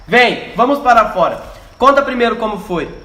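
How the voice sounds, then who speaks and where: Man as guerrilla commander, bright forceful voice, curt, urgent, decisive, amateur radio play, echoey recording. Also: very high voice, expressive, moderate pace, full character voice. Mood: startled, urgent. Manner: curt questions and barked orders. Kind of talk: amateur radio drama acting.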